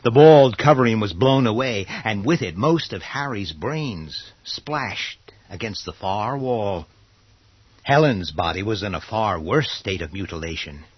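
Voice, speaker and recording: Husky guy reading scary story, theatrical pauses, dry muffled sound. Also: lowish voice, lively intonation, no echo. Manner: theatrical, deliberate dramatic pauses. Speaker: narrator. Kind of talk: dramatic scripted horror narration.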